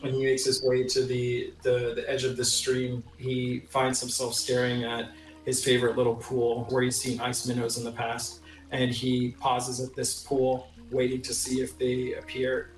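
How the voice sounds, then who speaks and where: A man's voice: medium pitch, monotone, moderate pace, distant mic. A man playing a tabletop game, improvising narration of what his character does. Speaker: player. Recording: roomy echo, away from the mic.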